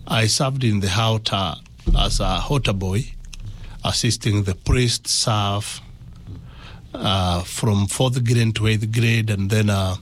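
A man with a Kenyan accent giving a personal testimony as an interview guest, in halting, deliberate phrases. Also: lowish voice.

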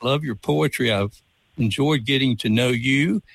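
A man's voice: medium pitch, measured pace, creaky, no room sound, low-quality recording. A man hosting a college radio interview show in a studio, friendly and welcoming.

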